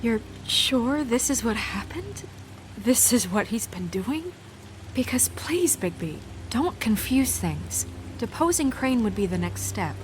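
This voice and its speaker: A woman playing an earnest, composed official in a dark fantasy video game, speaking in a measured, diplomatic way. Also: a little high, big pitch swings, faint music behind. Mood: stern. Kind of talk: dramatic video game acting. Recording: faint rain noise behind the voice.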